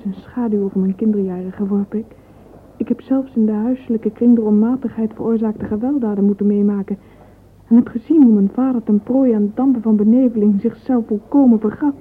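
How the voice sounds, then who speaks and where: An actress playing a wistful, sentimental young woman in a dreamy, breathy radio-drama soliloquy. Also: fairly high pitch, fairly even intonation, fairly quick. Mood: mournful, wistful. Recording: muffled phone-like old radio recording.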